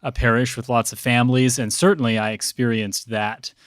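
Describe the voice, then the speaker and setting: A man speaking as a priest and interview guest, reflective, with measured, halting, thoughtful phrasing. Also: mid-range voice, little pitch movement, smooth, no echo, clean studio sound.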